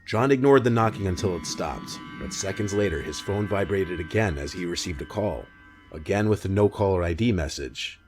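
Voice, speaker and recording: A man reading a scripted scary-story narration in a measured, matter-of-fact way. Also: low-pitched, expressive. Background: music bed.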